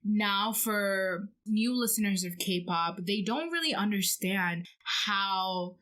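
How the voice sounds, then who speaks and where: A woman's voice: medium pitch, takes it slowly, fairly bright tone. A woman co-hosting a podcast, explaining things and sharing her opinions in casual conversation. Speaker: podcast co-host. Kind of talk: casual K-pop podcast chat.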